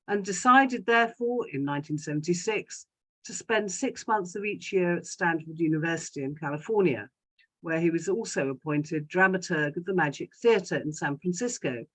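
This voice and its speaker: A woman, reading a prepared personal talk in an articulate, measured and formal way. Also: on the low side, rough recording. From London, England. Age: 60s. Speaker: guest speaker giving a talk about a parent. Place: indoors in a home study, on a video call.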